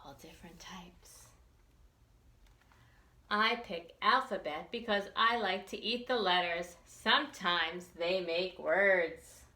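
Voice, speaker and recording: A woman reading a picture book aloud for young children, expressively and with deliberate enunciation. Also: mid-range voice, bright, forceful tone, reverberant. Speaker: children's librarian storytime reader.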